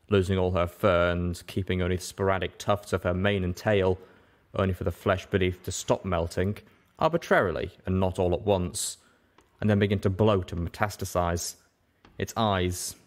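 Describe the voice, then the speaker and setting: A man reading a first-person story aloud as scripted narration. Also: sits low. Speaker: audiobook reader.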